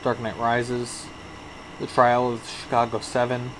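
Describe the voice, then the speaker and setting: A man's voice: medium pitch, completely flat delivery, a little slow, noisy background. A man co-hosting a casual movie review podcast, laid-back and hesitant. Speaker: podcast co-host.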